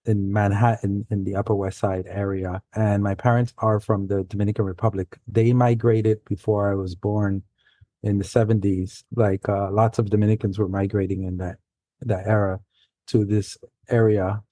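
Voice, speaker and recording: A man's voice: fairly low pitch, level tone, normal speed, warm tone, dry recording. A man in his forties from New York City, a native Spanish speaker, telling rambling, self-correcting stories as a guest author in a casual interview. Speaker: guest, science fiction author.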